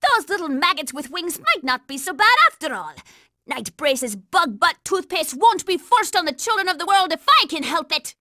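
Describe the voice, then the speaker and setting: A woman's voice: very high voice, sing-song, moderate pace, sharp tone, no room sound, studio quality, full character voice. A woman voicing a bossy, hot-tempered young girl operative in a cartoon video game, brash and commanding. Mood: determined.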